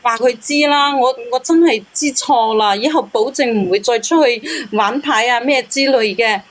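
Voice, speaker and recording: A woman's voice: fairly high pitch, moderate pace. A woman calling in to tell her own story, pleading and defensive as she asks for help. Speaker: caller seeking relationship help. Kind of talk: unscripted personal account.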